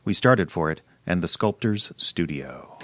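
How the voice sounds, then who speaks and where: A brash radio-mystery sidekick, played by a man, with a lowish voice and very lively intonation. He is wry and breezy, tossing off colloquial lines. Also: measured pace, noisy background. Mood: matter-of-fact. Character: wisecracking detective's assistant and narrator, brash man. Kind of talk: old-time radio mystery acting.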